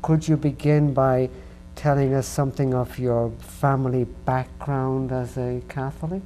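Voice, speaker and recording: A man from Ireland hosting a religious interview program, speaking slowly and earnestly. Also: mid-range voice, little pitch movement, mellow, faint music behind, poor audio. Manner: slow, earnest and unhurried. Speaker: host.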